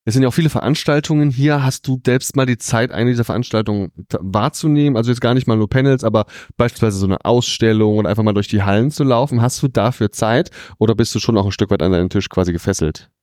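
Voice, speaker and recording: Fast-talking man with a dark voice. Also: medium pitch, no room sound, studio quality. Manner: quick, chatty, frequent fillers. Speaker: podcast host interviewer. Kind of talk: casual interview. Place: comic convention.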